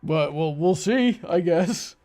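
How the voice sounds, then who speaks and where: A man hosting a livestream in casual conversation, talking haltingly and repeating words as he goes. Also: a little high, expressive, warm tone.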